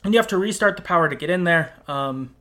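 A man's voice: fairly high pitch, expressive, fast talker, a bit bright. A man hosting a casual podcast, talking through a game and retelling it in an informal, conversational way. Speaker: podcast host. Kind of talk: casual game discussion and plot recap.

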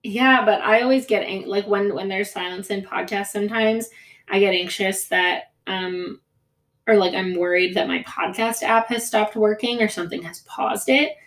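A woman's voice: medium pitch, normal speed, a bit bright. A woman from the United States whose first language was Greek, co-hosting a podcast in casual, rambling chat full of fillers and restarts. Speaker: podcast co-host.

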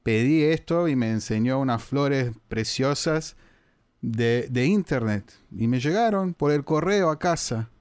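A man from Brazil speaks with a Brazilian Portuguese accent and a smooth, clear voice. He tells his story in a friendly, halting way, with an easy laugh. The sound is dry and close. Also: mid-range voice, animated, a little mellow, no room sound. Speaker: podcast guest. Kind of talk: casual storytelling in an interview.

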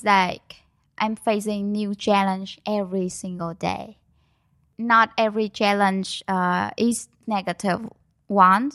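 A woman's voice: medium pitch, fairly bright tone, no room sound, clean studio sound. A young woman whose first language is Vietnamese, a guest in a casual interview who talks with many fillers and restarts.

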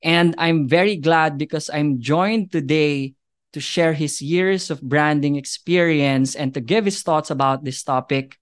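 A man hosting a podcast interview, upbeat and enthusiastic, speaking in long, structured sentences that sound prepared. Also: on the high side. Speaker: podcast host.

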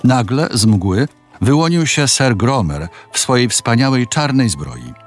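A male narrator tells a fairy tale with very varied intonation and a medium pitch. His delivery is measured and dramatic, with soft music behind the voice. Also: sing-song. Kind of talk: scripted fairy-tale storytelling.

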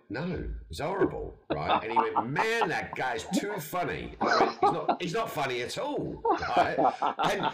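A man with an English accent chatting casually with friends, laughing often as he talks. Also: medium pitch. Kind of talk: casual banter.

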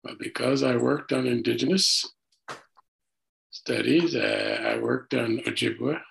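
A man in his seventies, born in Barbados, speaking in a measured interview and pausing often with "uh". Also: medium pitch, vocal fry, slight background noise, rough recording. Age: 70s. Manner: measured, pauses with frequent "uh". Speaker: interviewee, retired professor. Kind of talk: casual interview.

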